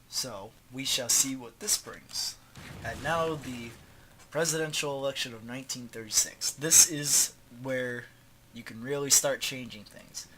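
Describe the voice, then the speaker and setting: A man's voice: mid-range voice, background noise. A man with a stuffed-up nose and a slightly sore throat, casually walking through a strategy game tutorial in an offhand, slangy way while clicking through menus. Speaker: gaming tutorial host.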